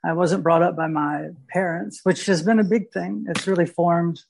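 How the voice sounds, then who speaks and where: A man from Mississippi, a guest artist talking thoughtfully in an interview, pausing and restarting as he searches for words. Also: sits high, fairly even intonation, vocal fry. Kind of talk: reflective interview talk.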